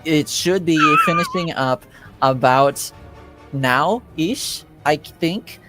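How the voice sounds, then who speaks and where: A man narrating a tabletop roleplaying game as game master, with a hesitant, halting delivery. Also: a little high, wildly swooping pitch, loud music behind the voice.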